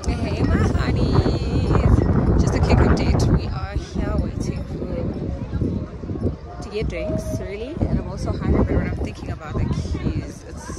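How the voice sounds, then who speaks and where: A woman's voice: medium pitch, heavy background noise. A young adult South African woman chatting casually as a vlogger to a phone held at arm's length outdoors. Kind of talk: casual vlog chat to camera. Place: outdoors at a busy market. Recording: loud music and crowd outdoors.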